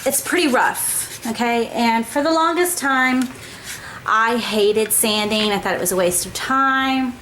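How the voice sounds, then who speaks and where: A woman hosting her own craft show, walking viewers through a project step by step in a casual, chatty way and often checking in with a quick "okay?". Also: fairly high pitch, a bit bright, slight background noise, low-quality recording.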